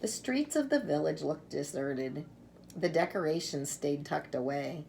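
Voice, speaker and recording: Female storyteller, slow and low, expressive children's read-aloud with dramatic pauses, faint background noise. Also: gravelly. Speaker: storyteller reading a children's picture book.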